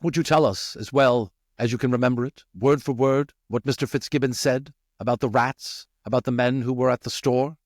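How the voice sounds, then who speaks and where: Man performing a male rat elder statesman, formal, solemn, lifted pitch, storybook voice. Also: fairly even intonation, moderate pace, few pauses, dry recording, clean studio sound, close to an everyday voice. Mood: formal, inquiring. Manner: formal, grave. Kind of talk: storybook character reading.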